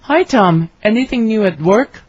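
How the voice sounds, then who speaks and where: A woman's voice: medium pitch, lively intonation, moderate pace, darkish tone, husky. Woman reading a scripted dialogue. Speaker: dialogue reader for English learners. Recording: dry, slightly dull studio recording.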